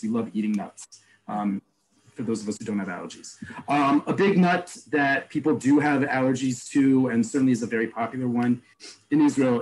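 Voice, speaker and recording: A man teaching an online class, explaining terms and repeating each word slowly. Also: medium pitch, darkish tone, rough recording. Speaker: Hebrew teacher. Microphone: video call.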